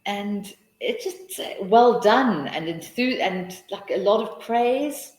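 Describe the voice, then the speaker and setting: A woman's voice: mid-range voice, expressive, slow pace, darkish tone, echoey room, low-quality recording. A South African woman hosting a live teaching webinar, answering questions in a chatty, encouraging way with many restarts.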